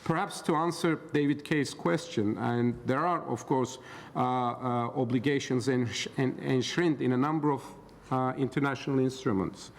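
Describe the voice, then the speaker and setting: A middle-aged man from Turkey giving a conference talk as an expert panel speaker, hesitant and full of filler pauses. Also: medium pitch, steady tone, vocal fry. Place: conference hall. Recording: roomy hall reverb, faint background noise.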